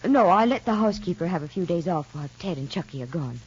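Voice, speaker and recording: A woman's voice: lowish voice, lively intonation, fast talker, slightly rough. An actress playing an anxious young mother in a 1940s radio soap, talking in a hesitant, worried way. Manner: hesitant, worried, soft-spoken. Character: anxious young mother fearing for her son. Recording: dull lo-fi old radio recording.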